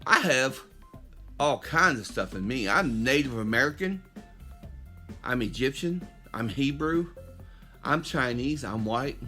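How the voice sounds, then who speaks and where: A man's voice: medium pitch, animated, measured pace, pauses often, sharp tone, soft background music. A middle-aged man hosts a podcast, delivering an impassioned, preachy monologue straight at his listeners with frequent restarts. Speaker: podcast host. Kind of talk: opinionated monologue.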